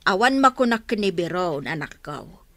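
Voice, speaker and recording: An actress with a Filipino accent playing a friendly, expressive woman in a family radio drama. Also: on the low side, normal speed, dry recording. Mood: motherly, approving.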